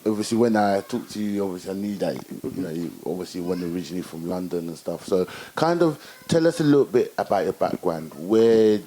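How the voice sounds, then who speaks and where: A man's voice: low voice, a little fast, few pauses, warm tone, slight background noise, low-quality recording. A man hosting an interview, asking long, rambling questions full of fillers like "you know" and "obviously". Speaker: interview host.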